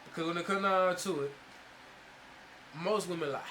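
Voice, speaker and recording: A man's voice: sits high, normal speed, fairly bright tone, slight background noise. A young man giving blunt, profane reaction commentary, often saying "you know what I'm saying". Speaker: reaction streamer.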